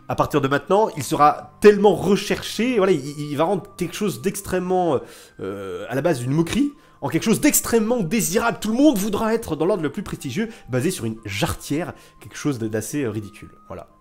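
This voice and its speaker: A man livestreaming history stories to his viewers in a casual, theatrical way, repeating phrases for suspense and emphasis. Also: high voice. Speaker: live streamer telling history stories to chat. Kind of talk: casual animated storytelling to viewers.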